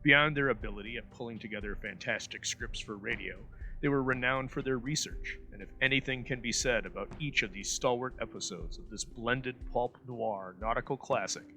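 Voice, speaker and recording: A man's voice: mid-range voice, creaky, sharp tone, rough recording. A man hosting an old-time radio show, reading a scripted introduction in florid storyteller phrasing. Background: music.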